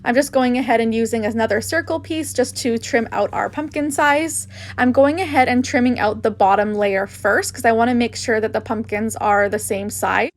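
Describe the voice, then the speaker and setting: A woman's voice: a little high, normal speed, soft background music. A woman hosting a craft tutorial, narrating casually with gushing enthusiasm.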